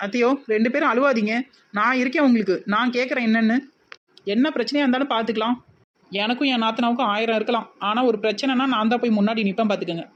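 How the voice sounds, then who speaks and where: A woman's voice: on the high side, fairly even intonation, fast talker, lo-fi sound, a little hiss or hum, pitched below the performer's own voice, lightly acted. A woman playing a sarcastic, put-upon village sister-in-law with a southern Tamil Nadu accent, alternating mock concern and wry asides in a comedy skit. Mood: coaxing.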